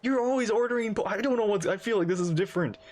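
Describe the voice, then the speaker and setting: A man's voice: high-pitched, very fast, darkish tone. A man doing casual live reaction commentary, teasingly talking back to game characters. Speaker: reaction streamer.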